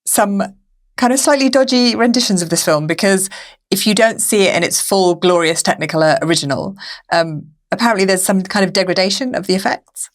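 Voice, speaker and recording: A woman's voice: medium pitch, fairly quick. A woman hosting a podcast, casually moderating a discussion. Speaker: podcast host.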